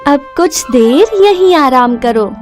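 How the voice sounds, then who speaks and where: Woman voicing a high-pitched, kind village wife. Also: expressive, slow pace, soft background music. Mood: gentle, accepting.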